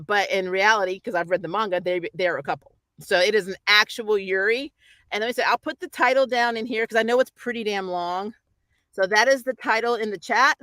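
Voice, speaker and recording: A woman's voice: medium pitch, fairly bright tone, no echo. A woman hosting a pop culture show, chatting casually about shows in an informal, opinionated, rambling way. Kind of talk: casual chat, reviewing shows.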